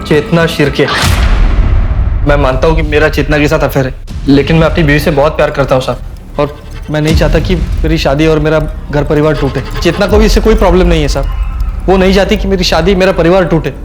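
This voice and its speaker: Nervous constable, male actor, crime serial: pleading, defensive, slightly high voice, musical underscore. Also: steady tone, a little fast, music in the background, close to an everyday voice. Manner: deferential, defensive, hesitant. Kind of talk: TV crime drama acting.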